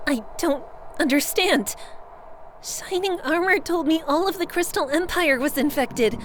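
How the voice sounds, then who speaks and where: A woman's voice: sits high, unhurried, a bit bright, a little hiss or hum. A woman voicing an earnest, anxious young female cartoon pony leader, serious and urgent. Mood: worried, serious. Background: music.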